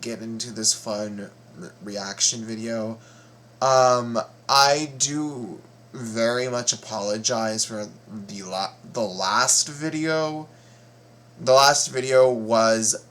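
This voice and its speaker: Guy doing a casual reaction vlog, really slow and stammery, keeps repeating words, some background noise. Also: medium pitch, fairly bright tone. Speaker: reaction video host.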